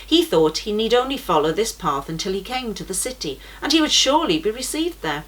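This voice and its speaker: A woman's voice: mid-range voice, very animated, fairly quick, fairly bright tone, poor audio. A woman voicing a very old, kindly male pilgrim in a read-aloud storybook, speaking earnestly and reassuringly.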